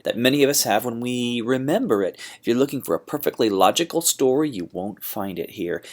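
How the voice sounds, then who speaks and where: A man teaching a writing lesson in an informal, slangy, theatrical and emphatic manner. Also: medium pitch. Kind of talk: classroom lesson on paraphrasing and essay writing.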